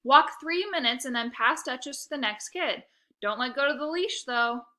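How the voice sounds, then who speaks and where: A woman reading a children's book aloud, voicing a cocky, defensive young boy in an expressive way. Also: high voice, moderate pace, pitched above the performer's own voice. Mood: bossy. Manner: expressive, exclamatory in dialogue. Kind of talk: first-person children's book read-aloud.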